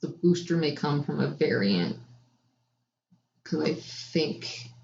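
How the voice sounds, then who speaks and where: A woman's voice: low voice, animated, takes it slowly, vocal fry, darkish tone. A woman co-hosting a podcast, bantering and improvising in a casual, playful way. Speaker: podcast co-host. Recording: echoey room, dull lo-fi audio.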